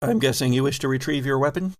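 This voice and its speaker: A man's voice: mid-range voice, fast, no room sound. A man running a tabletop horror role-playing game as game master, explaining the action in a conversational way.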